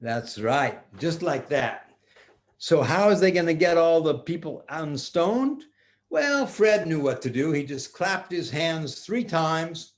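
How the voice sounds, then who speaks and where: Grandfather storyteller, older male, slightly high register, simple improvised bedtime tale for young kids. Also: lively intonation. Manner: simple, repetitive phrasing with drawn-out words.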